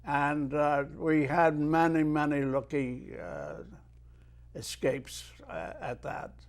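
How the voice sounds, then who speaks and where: An elderly Irish man with a fairly high voice speaks slowly and with care. He tells stories in an unhurried way, then recites verse with swelling emphasis. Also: gravelly. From Ireland. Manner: measured storyteller who declaims verse with swelling emphasis. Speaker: guest, retired merchant mariner and poet. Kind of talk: unhurried storytelling and poetry recitation.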